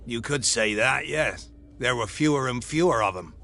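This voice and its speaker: A man's voice: mid-range voice, lively intonation, normal speed, pushed and bright, soft background music. A man voicing a chatty male tavern townsman who gossips casually.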